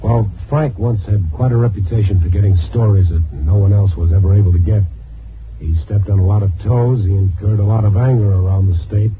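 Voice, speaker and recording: A man's voice: lowish voice, steady tone, brisk, a little hiss or hum, natural voice. Male actor as dogged American reporter, weary, clipped, hardboiled, 1950s radio drama, narrow tinny broadcast sound. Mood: earnest, pressing. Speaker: lead actor and narrator.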